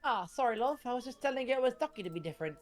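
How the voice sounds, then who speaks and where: Woman as lively, kindly British pal. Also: on the high side, rapid-fire, soft background music, pitched above the performer's own voice, close to an everyday voice. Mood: friendly, encouraging. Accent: put-on British.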